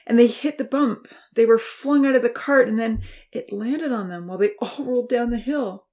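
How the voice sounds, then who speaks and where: A woman's voice: a little high, moderate pace, darkish tone, pitched above the performer's own voice, natural voice. A woman voicing a frantic uncle who stammers through panic and tears, breathless and pleading. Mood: shaken.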